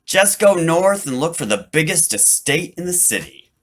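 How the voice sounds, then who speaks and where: Man putting on an excitable, high-pitched boastful boy. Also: normal speed. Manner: eager, demanding, exclamatory.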